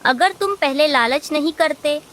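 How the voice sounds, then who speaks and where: A woman's voice: high voice, measured pace, fairly bright tone. A woman voicing a kind, clever female sparrow with a friendly, assured manner in a children's cartoon story. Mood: confident. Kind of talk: animated children's moral story dialogue.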